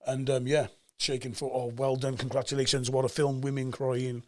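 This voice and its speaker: A man in his forties with a Cardiff Welsh accent, talking as a guest on a podcast and telling stories with emphatic energy. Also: mid-range voice. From Cardiff, Wales. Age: 40s. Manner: animated storyteller, fast and emphatic, acts out quoted voices. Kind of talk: casual podcast chat.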